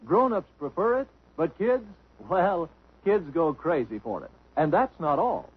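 Salesy old radio ad guy, high very raspy voice, bouncy, muffled. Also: big pitch swings, unhurried. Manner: eager and salesy. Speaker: commercial announcer. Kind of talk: 1950s radio commercial.

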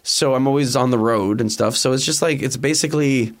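A Canadian man, a musician guest in a casual interview, rambles through his thoughts with constant fillers and restarts. He jokes and banters easily. His voice sits at an ordinary middle pitch. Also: a little fast. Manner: rambling, joking, lots of fillers and restarts, laughs often.

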